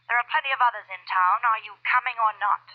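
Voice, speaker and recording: An American actress playing a brisk, demanding client in a 1940s radio detective drama, tough but worried. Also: female voice, fairly high pitch, lightly acted. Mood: impatient, commanding. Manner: clipped, commanding, insistent. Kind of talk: 1940s radio noir acting. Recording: dull, muffled vintage radio recording.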